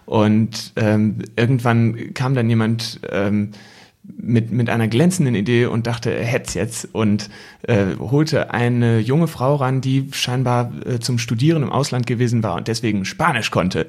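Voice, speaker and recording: A man's voice: mid-range voice, measured pace, a little mellow. A young man telling travel stories casually as a podcast guest, thoughtful and often searching for words.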